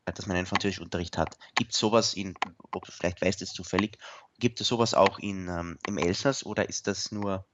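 A man's voice: deep voice, steady tone, brisk, highs cut off, background noise. A man interviewing a guest on the radio, asking long, searching questions full of fillers.

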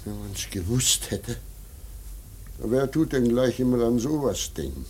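A man's voice: medium pitch, expressive, normal speed, darkish tone, a little hiss or hum, close to an everyday voice. An actor playing a simple, deferential working man who talks in a colloquial, rambling way in a German radio play. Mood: uneasy.

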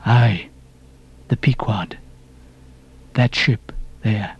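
A man's voice: fairly low pitch, very slow, slightly rough, lo-fi sound, full character voice. A man voicing a ragged old sailor stranger in an audiobook, cryptic and derisive, with emphatic archaic seafaring talk. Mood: cryptic, mocking. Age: older. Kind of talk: audiobook character reading.

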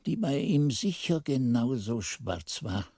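A man's voice: mid-range voice, takes it slowly, slightly rough, no room sound, studio quality, full character voice. An actor playing a monk who recalls his youth in a radio drama, narrating in a solemn, ardent, literary manner. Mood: measured, explanatory. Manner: expressive, solemn, literary delivery. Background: music.

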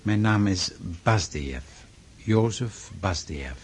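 An actor playing a stern, pious Russian freemason in a radio drama, speaking formally and insistently with a husky voice. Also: male voice, lowish voice, expressive, a little hiss or hum. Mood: grave, courteous. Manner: formal, measured, preaching, insistent.

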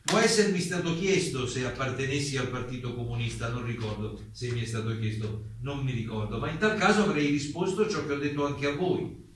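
A man acting an evasive writer on the witness stand in a stage drama, heard from far off in a very echoey room. He answers formally and politely in a mid-pitched voice, hesitant and noncommittal, over faint noise. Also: brisk, fairly bright tone, close to an everyday voice. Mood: guarded. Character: evasive, polite male writer testifying before a commission. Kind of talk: stage courtroom drama dialogue.

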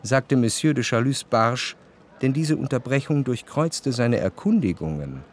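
A man narrating a literary radio drama in the first person, in a measured, reflective manner. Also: medium pitch, lively intonation, a little hiss or hum.

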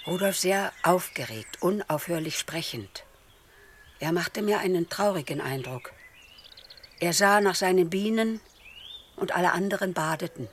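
A woman reading as an elderly mother keeping a wartime diary, calm and measured, in a documentary. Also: lowish voice, a little hiss or hum.

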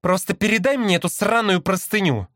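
A man's voice: high voice, a little fast, fairly bright tone, dry recording, clean studio sound, pitched above the performer's own voice. A man voicing a curt, irritable, grumbling man as an audiobook character. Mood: angry. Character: gruff, irritable man, grudging and evasive.

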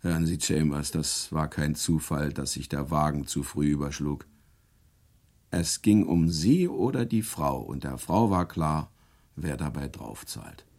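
An actor playing a relentless, cunning prosecutor with a gravelly voice in a German radio play, pressing a suspect insistently. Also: male voice, a bass voice, a little slow, darkish tone, heavily acted. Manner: insistent, interrogating.

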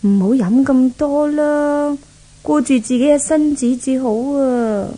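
Casting: wheedling rich fiancée, scheming and possessive, higher-pitched yet soft-toned, Hong Kong Cantonese, vintage radio play. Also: female voice, slow, rough recording. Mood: wheedling, smug. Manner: coaxing, wheedling. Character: spoiled, scheming rich young bride-to-be, coaxing and possessive. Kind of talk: 1970s Cantonese radio drama acting.

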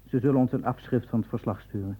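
An actor playing a casual, dismissive medical assistant reporting matter-of-factly in a radio drama. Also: male voice, medium pitch, fast talker, mellow, slightly rough, lightly acted. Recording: tinny, phone-like narrow sound.

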